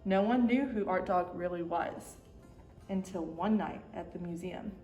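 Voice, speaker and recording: A woman's voice: lowish voice, unhurried, a little mellow, faint music behind. Echoey female storybook narrator. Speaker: story time reader. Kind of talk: expressive children's storybook reading. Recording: echoey room.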